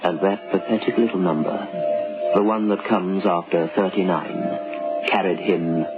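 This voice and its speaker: Deep-ish guy narrating old radio horror, ominous, tinny and hissy recording. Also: steady tone, loud music behind the voice. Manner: measured, ominous. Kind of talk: scripted dramatic radio narration. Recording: muffled, noisy vintage radio recording.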